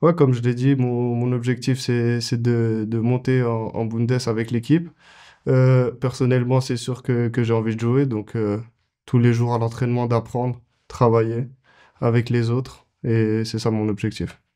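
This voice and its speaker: A man's voice: medium pitch, flat delivery, normal speed, mellow, clean tone. A man in his twenties, a goalkeeper answering interview questions casually, with many fillers and restarts. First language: French. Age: 20s.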